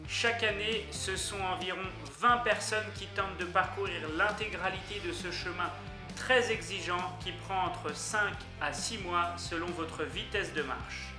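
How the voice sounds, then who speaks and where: A young man narrating a travel list video in an upbeat way, speaking directly to his viewers. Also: sits high. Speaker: YouTuber. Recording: echoey room with music underneath.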